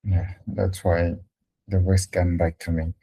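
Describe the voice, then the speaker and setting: A young man from Congo teaching a French lesson over a video call, speaking slowly and patiently with frequent fillers and restarts. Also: low-pitched, flat delivery, mellow, slightly rough, rough recording. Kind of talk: online one-to-one language lesson.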